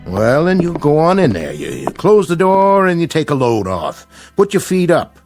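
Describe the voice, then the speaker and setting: A man's voice: a little high, big pitch swings, moderate pace, a little mellow, soft background music. Slick, swooping male showbiz agent. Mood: breezy, flattering. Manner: breezy, slangy, coaxing. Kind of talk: radio drama acting.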